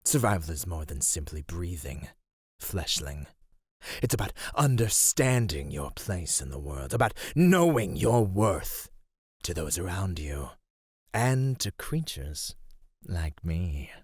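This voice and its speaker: A man playing a teasing, condescending serpentine monster predator in a theatrical ASMR roleplay, speaking in a deliberate, menacing way. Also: sits low, very animated, slightly rough, dry recording, clean studio sound, full character voice. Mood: condescending, intrigued.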